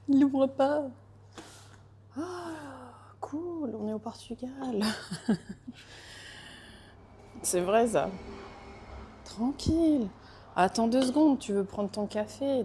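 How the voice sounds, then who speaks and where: A woman's voice: a little high, animated, slow, long pauses, warm tone, slight background noise. A woman chatting casually, hesitant, with frequent fillers and restarts. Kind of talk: casual chat. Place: lives in Portugal.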